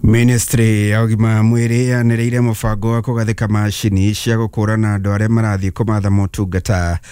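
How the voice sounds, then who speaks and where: A man reading the news as a radio anchor, in a measured, formal delivery. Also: fairly low pitch, little pitch movement, mellow, clean tone, no echo, studio quality. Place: radio studio.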